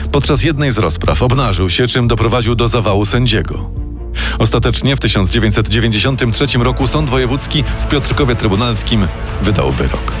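A man narrates a true-crime story in a measured, dramatic radio style. His pitch moves a lot, and music plays clearly beneath his voice. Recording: narration over loud music.

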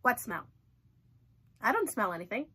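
A stubborn cartoon pigeon played by a woman reading a storybook, high and bright, with wildly swooping pitch. It protests in a dramatic, whiny, exaggerated way. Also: sing-song, moderate pace, husky, exaggerated voice. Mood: dismissive. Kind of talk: children's storybook character read-aloud.

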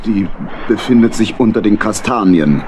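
A man's voice: on the low side, expressive, moderate pace, noisy background, close to an everyday voice. A man voicing a blustering, nervous uncle badger captain in a children's audio drama, grumbly and prone to stammering. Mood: puzzled, flustered.

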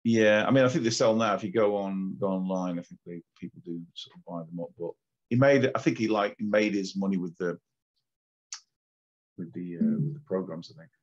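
A man's voice: low-pitched, brisk, highs cut off. A man chatting casually on a live stream, rambling with filler words. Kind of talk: casual chat.

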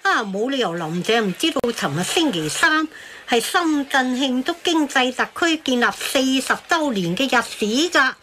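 A woman's voice: high voice, lively intonation, normal speed, sharp tone, slight background noise. A woman playing a puzzled, fussy everyday character who questions and exclaims in a radio drama. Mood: puzzled, questioning.

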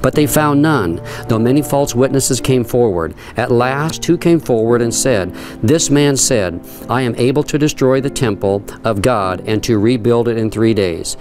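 A man's voice: medium pitch, lively intonation, normal speed, music in the background. A middle-aged man leading a tour and teaching, reading scripture aloud and explaining it earnestly, with frequent fillers and restarts, on a stone terrace outdoors. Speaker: tour guide and Bible teacher. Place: outdoor stone terrace, then an underground rock dungeon.

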